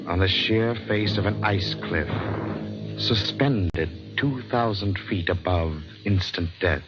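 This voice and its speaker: An actor playing an ominous announcer who sets a perilous scene in drawn-out 1940s radio drama style. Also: male voice, deep voice. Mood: grave, suspenseful. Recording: muffled old radio recording under loud music.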